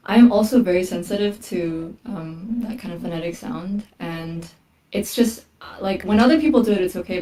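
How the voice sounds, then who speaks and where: A woman's voice: lowish voice, mellow, recorded from a distance, poor audio. A woman singer whose first language is Korean, speaking English as a guest on a podcast and explaining her craft carefully. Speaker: guest musician. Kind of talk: casual interview talk.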